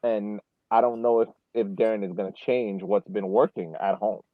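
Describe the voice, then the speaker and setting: A man's voice: on the low side, fairly even intonation, normal speed, no room sound. A man giving casual sports analysis as a podcast panelist, explaining his points step by step. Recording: dull phone-like band-limited audio.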